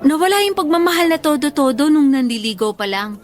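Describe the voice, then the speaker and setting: A woman playing a tired, affectionate young schoolteacher in a Filipino radio love drama, speaking softly and earnestly. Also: sits high, lively intonation, fast talker. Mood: shy, earnest.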